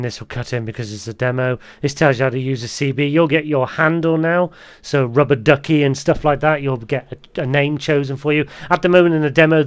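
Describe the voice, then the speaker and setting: A man's voice: medium pitch, smooth. A man hosting a casual gameplay tutorial, explaining things in a rambling way. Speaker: gaming video host.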